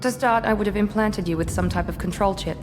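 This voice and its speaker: A woman's voice: mid-range voice, quick pace, lightly acted. An actress voicing a guarded female Cerberus operative in a video game, speaking in a curt, aloof manner. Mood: cool. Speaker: game character. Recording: game audio with long reverb and clear hiss.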